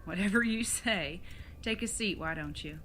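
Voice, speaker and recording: A woman's voice: mid-range voice, moderate pace, fairly bright tone, a little hiss or hum, lightly acted. A woman acting in an audio drama as a retired outlaw mother, older, fond and teasing, with a folksy Old West way of talking. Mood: fond scolding.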